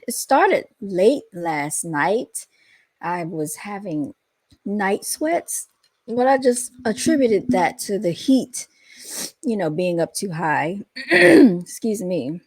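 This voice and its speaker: Slow-talking woman with a scratchy voice. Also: mid-range voice, very animated, slightly rough, no room sound. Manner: chatty, drawn-out, talks straight to viewers. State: scratchy, under the weather. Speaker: host and founder of the channel. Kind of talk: casual chat to viewers.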